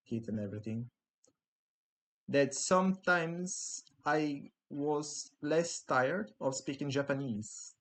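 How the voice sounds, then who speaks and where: A man whose first language is Italian, talking in a relaxed, reflective way as a podcast host. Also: on the high side, slow, rough recording. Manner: reflective, with frequent restarts. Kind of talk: casual podcast chat.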